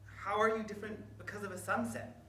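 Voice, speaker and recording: Woman playing an eager young boy, bright and echoey. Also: medium pitch, quick pace, lightly acted. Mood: curious. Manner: excitable, childlike. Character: eager, chatty, easily impressed young grandson. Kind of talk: staged play reading. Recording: echoey room, background noise.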